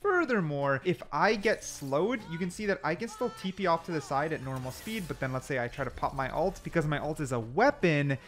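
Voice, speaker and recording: A man's voice: on the high side, animated, fairly quick, few pauses, fairly bright tone. A man giving casual, chatty explainer commentary over gameplay, talking the viewer through it step by step. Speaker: gaming video host.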